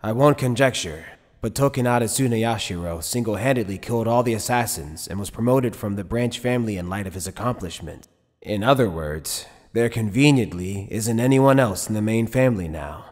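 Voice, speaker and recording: A man playing an easygoing but shrewd middle-aged head captain in an anime fan dub, speaking in a measured, wry, casual way. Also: fairly low pitch, lively intonation, darkish tone. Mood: grave, measured. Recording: long hall reverb.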